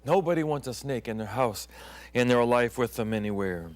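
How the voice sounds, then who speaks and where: A middle-aged man who grew up in Thailand, a pastor hosting his own program, telling stories in a sermon and often dropping to hushed tones and whispers. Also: mid-range voice, expressive, normal speed. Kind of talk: storytelling sermon.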